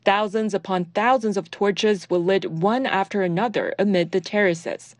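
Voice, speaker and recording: Woman reporter voiceover, smooth reading, dry close sound. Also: mid-range voice, normal speed, dry recording. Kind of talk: scripted news narration.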